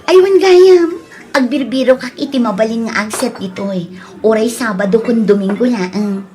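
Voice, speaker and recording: Woman acting a coy young Ilocano bar girl, high-voiced. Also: moderate pace, dark tone, close to an everyday voice. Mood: coy, playful. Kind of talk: Ilocano audio drama acting.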